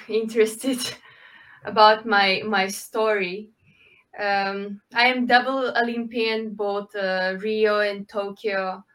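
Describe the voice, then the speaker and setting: A woman, answering interview questions in halting, full of fillers. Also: mid-range voice, fairly bright tone. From Ukraine. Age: 20s. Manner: halting, with frequent fillers and restarts, non-native phrasing. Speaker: guest, Olympic swimmer.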